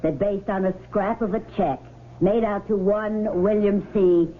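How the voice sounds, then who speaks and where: An actor playing a formal, sceptical lawyer in a 1970s radio drama, pointed and precise in cross-examination. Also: male voice, high voice, fairly even intonation, a little slow. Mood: sceptical, challenging. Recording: muffled, noisy old radio broadcast.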